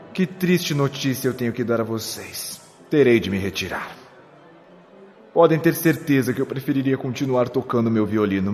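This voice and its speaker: Male actor as flamboyant, eccentric time-travelling showman; very lively pitch, reverberant hall. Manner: flamboyant, drawn-out, dramatic pauses. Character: eccentric, theatrical gentleman violinist, weary time-traveller. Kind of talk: theatrical monologue in a sci-fi audio drama. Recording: long hall reverb, music underneath.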